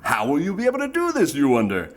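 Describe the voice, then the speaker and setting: An actor playing a smooth-talking old-time radio pitchman, with a theatrical, mock-sincere sales delivery. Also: wildly swooping pitch, fast. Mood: folksy, persuasive. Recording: music behind the voice.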